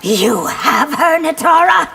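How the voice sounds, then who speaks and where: Game warrior empress: hot-headed, vehement, swooping pitch, music behind. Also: female voice, big pitch swings, slow, creaky, heavily acted. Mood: furious. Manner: snarling, vehement. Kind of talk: video game character dialogue. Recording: long reverb, music behind.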